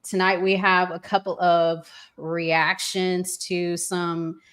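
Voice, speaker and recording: A woman's voice: lowish voice, flat delivery, slow pace, fairly bright tone, clear, resonant tone. An American woman hosting a casual relationship talk show, conversational and repeating words for emphasis. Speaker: host.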